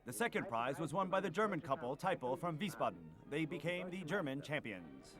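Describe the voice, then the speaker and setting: A man's voice: a little high, very animated, brisk, music in the background, low-quality recording. A man reading scripted newsreel narration as an announcer.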